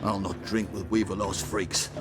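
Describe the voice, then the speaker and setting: An actor playing a menacing, scornful village troublemaker in a video game, with a creaky voice and rustic manner. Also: male voice, low-pitched, fairly even intonation, normal speed, lightly acted. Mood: scornful, threatening. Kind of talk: video game cinematic acting. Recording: loud music over the voice.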